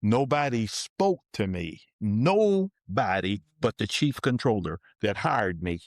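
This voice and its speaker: An older man being interviewed, slowly and plainly telling the story of his working life and dropping his voice for asides. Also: on the low side, sing-song, dry recording, clean studio sound. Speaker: interviewee recounting his career. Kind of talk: slow, deliberate personal storytelling.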